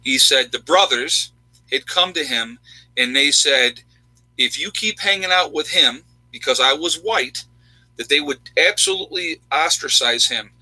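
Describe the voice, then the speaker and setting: A man's voice: fairly high pitch, lively intonation, unhurried, pushed and bright, no echo, poor audio. A man in his fifties from upstate New York, talking bluntly and emphatically in a casual chat with viewers. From New York State, USA. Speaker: channel host, army veteran.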